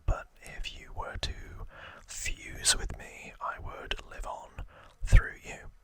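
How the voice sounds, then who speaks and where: A man whispering an ASMR trivia recap in a breathy voice, informal and a little hesitant, as if recalling it from memory. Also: slow pace. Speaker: ASMR host.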